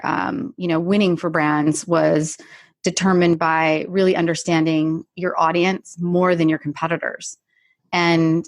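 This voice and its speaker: A woman's voice: lowish voice, dry recording. Dry, close-sounding woman podcast host. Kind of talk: podcast interview hosting, conversational.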